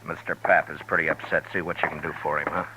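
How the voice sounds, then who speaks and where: An actor playing a steady, stern middle-aged frontier marshal in a 1950s radio drama, calm, firm and measured. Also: male voice, extremely low pitch, background noise. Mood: probing. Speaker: lead and narrator. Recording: dull old radio broadcast with music.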